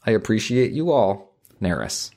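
A man's voice: lowish voice, big pitch swings, moderate pace, a little mellow. A man co-hosting an etiquette advice podcast, talking things through thoughtfully and reading a listener letter aloud. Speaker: podcast co-host.

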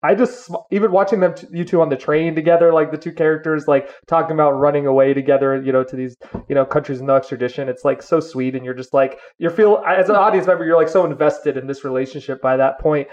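A man's voice: fairly high pitch, fast talker, darkish tone. A man co-hosting a podcast in a casual, conversational interview style, on a slightly muffled recording. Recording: slightly dull lo-fi sound.

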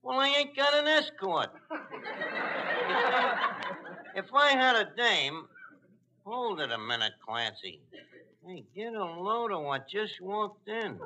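A man's voice: sits high, sing-song, slow, pauses often, pushed and bright, full character voice. An actor playing a brash, flirtatious working-class tavern man with a New York accent, mangling fancy words in a 1940s radio comedy. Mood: smitten. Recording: noisy, clicky old radio broadcast.

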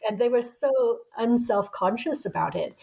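A woman in her sixties, a professor being interviewed as a guest, telling her story in a reflective, unhurried way. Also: a little high, expressive, vocal fry, warm tone, rough recording. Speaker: guest author, anthropology professor. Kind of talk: conversational interview answer.

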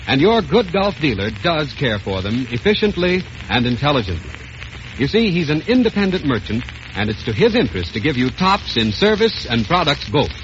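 A man's voice: on the high side, sing-song, moderate pace, slight background noise. An American man announcing in scripted 1930s radio sponsor style. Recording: muffled old radio broadcast with music.

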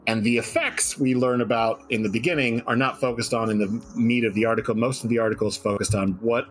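A man's voice: medium pitch, fairly even intonation, fast talker, faint music behind. A man talking casually about his own writing in a joking, self-deprecating way. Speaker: guest writer on a fiction stream.

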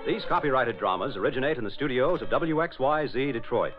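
Male 1940s announcer, American accent, mid-pitched, lively intonation, dull radio sound. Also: brisk, over music.

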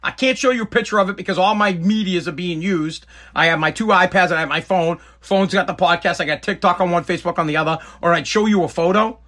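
A man's voice: high voice, fast, hardly any pauses, fairly bright tone. A man, hosting a live podcast in a blunt, profane rant. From Boston area, New England. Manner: ranting, blunt, profane, repeats himself. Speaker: podcast host.

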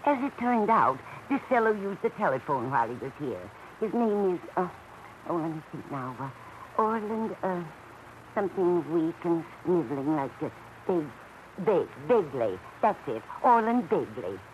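A woman's voice: on the low side, very animated, unhurried, highs cut off. Swooping, genteel older landlady. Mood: confiding. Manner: theatrical, genteel, musing. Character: genteel older landlady, wistful, chatty, protective. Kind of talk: 1940s radio acting. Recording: noisy vintage radio drama recording.

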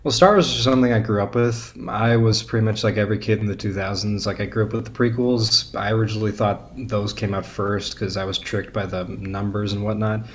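A young man with a slightly low voice speaks as a podcast guest. He chats casually and gives his opinions candidly. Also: steady tone, brisk, few pauses, slight background noise. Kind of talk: casual chat.

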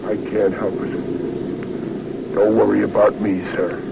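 An actor playing a humble, despondent young soldier in a vintage radio drama, plain-spoken and deferential. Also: male voice, on the low side, level tone, slow, creaky, heavily acted. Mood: subdued. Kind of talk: 1940s-50s radio drama acting. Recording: faintly noisy old radio broadcast.

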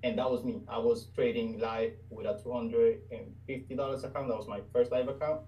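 A young man speaks as a podcast guest. His voice is mellow and dark and sounds a little far from the mic. He often pauses and hunts for the right words. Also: mid-range voice, steady tone. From Puerto Rico. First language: Spanish. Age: 20s. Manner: hesitant, searching for words. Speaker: guest trader. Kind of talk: casual interview.

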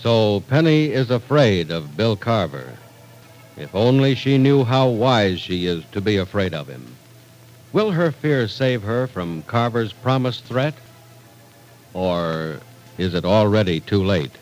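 A man's voice: fairly low pitch, sing-song, slow, a little mellow, low-quality recording. A man announcing in scripted, theatrical, formal 1940s radio style as the host of a serial. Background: music.

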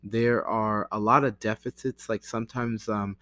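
An American man talking casually to camera in a rambling, halting way, often interrupting himself with "like" and "you know". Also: fairly low pitch, little pitch movement, a bit bright, no room sound. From United States. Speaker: language learner vlogger.

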